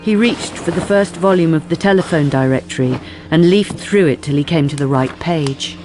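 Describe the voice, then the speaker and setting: A male narrator of a crime radio drama, reading scripted prose in a fairly high voice. Prominent music runs behind him. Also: moderate pace.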